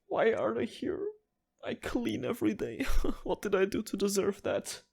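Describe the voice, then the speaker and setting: A woman's voice: mid-range voice, big pitch swings, normal speed, vocal fry, darkish tone, husky. A woman giving casual let's-play commentary as a gaming streamer, emotive and dramatic, with loose, sweary phrasing. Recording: game music underneath.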